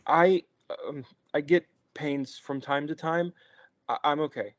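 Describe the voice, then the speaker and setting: A man reading a sheltered, childlike young man at a table read, asking halting, stammering questions. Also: on the high side, steady tone, muffled recording, close to an everyday voice. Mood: naive.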